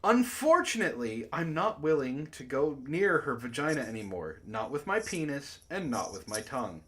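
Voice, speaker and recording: An animated male host reads prose aloud for a joking book club. His pitch swings widely as he moves between narration and exclaiming asides, and he breaks easily into laughter. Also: normal speed.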